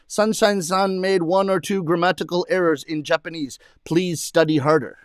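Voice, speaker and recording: A man performing comedy, telling stories to an audience in English, his first language, and shouting out the voices of the Japanese ladies he meets. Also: high voice, steady tone, moderate pace, smooth, no echo, clean studio sound. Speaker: rakugo comedian. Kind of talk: stand-up storytelling to an audience.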